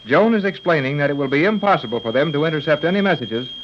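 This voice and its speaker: A man's voice: on the high side, expressive, fast, noisy background. A man announcing and narrating a 1930s radio serial from a script. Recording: muffled vintage radio recording.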